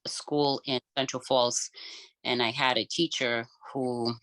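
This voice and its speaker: A woman whose first language is Cape Verdean Creole, sharing personal experiences in a relaxed podcast chat and pausing often to think. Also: rumbling low, no room sound, rough recording. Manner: thoughtful, pauses often with "um". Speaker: podcast co-host. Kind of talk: casual personal conversation.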